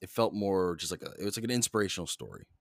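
A young man hosting a solo podcast, rambling in a flat monotone, swearing constantly and jumping between topics, close to the mic. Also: low voice, very fast, dry recording. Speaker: podcast host.

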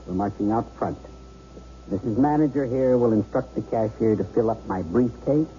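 A man's voice: medium pitch, moderate pace, warm tone, lightly acted. A man playing a hardened, volatile armed robber in a radio drama, barking orders and sneering in streetwise slang. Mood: commanding. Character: armed bank robber, hardened, volatile two-time loser. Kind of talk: 1970s radio drama acting. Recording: dull, noisy old radio broadcast recording.

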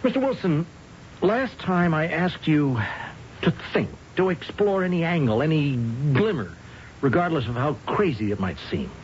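Halting detective, muffled old radio recording. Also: male voice, fairly high pitch, sing-song, slight background noise. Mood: probing. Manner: halting, deliberate questioning. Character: persistent, hesitant-sounding police detective quietly probing his suspect. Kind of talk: 1970s radio drama acting.

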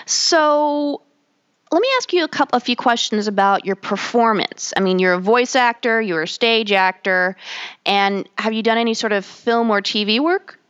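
A woman's voice: fairly high pitch, normal speed, fairly bright tone, smooth, highs cut off. A woman interviewing a guest in casual chat, enthusiastic and quoting her own reactions.

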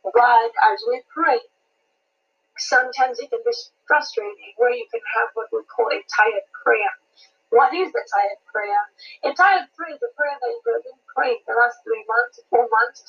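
Female preacher from Malawi, fairly high, breathy voice, fervent repetitive sermon delivery. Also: highs cut off. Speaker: prophetess preacher. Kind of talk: sermon and prayer.

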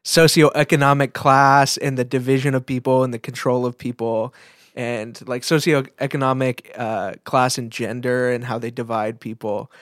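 Male co-host, average pitch, piecing thoughts together aloud in relaxed podcast conversation, close and dry. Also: normal speed, no echo. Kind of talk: casual podcast chat.

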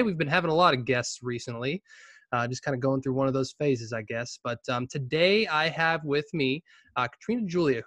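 A young man hosting a podcast, chatting in a relaxed way with lots of fillers, often trailing off quietly. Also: medium pitch, no room sound. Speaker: podcast host. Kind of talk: casual interview chat.

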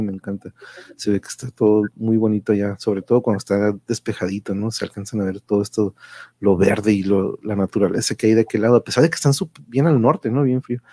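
A man's voice: medium pitch, warm tone, breathy edge, dry recording. A man with a Mexican accent hosts an informal online live show in a middle-range voice. He talks sociably and casually, slipping in the odd English word. The sound is dry and close. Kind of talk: casual live-stream chat.

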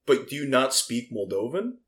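A man who does stand-up comedy, chatting casually with deadpan, crude jokes and bursts of mock outrage. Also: medium pitch, moderate pace. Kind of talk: crude joking banter.

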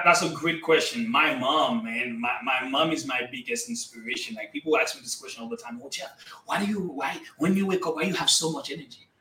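Energetic bright-voiced man, echoey and distant. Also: mid-range voice. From Haiti. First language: Haitian Creole. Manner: energetic, emphatic, addresses listeners as guys. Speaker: guest entrepreneur. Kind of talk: motivational interview talk.

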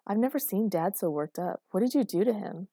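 A gossipy teenage girl, voiced by a woman narrator, unfazed and matter-of-fact. She chats in a mellow tone, in a dry storybook recording. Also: medium pitch, expressive, brisk, slightly rough, dry recording. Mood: gossipy, intrigued. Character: unfazed, gossipy sixteen-year-old girl. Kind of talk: audiobook character dialogue.

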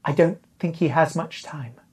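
Man voicing a higher, composed female spaceship pilot. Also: normal speed, darkish tone, breathy edge. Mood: concerned. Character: calm, concerned female space rescue ship pilot.

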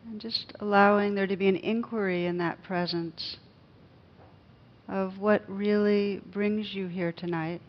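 A woman leading a guided meditation as a teacher, speaking gently and unhurriedly with pauses. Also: mid-range voice, steady tone, lo-fi sound.

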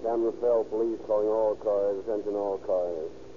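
A man's voice: on the low side, steady tone, moderate pace, natural voice. Terse 1930s police radio dispatcher played by a man. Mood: terse, official. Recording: noisy, muffled 1930s radio recording.

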